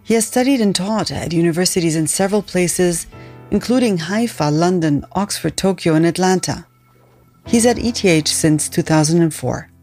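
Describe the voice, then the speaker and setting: A woman hosting a podcast, telling a story in calm, scripted narration. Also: fairly low pitch, moderate pace, faint music behind. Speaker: podcast host.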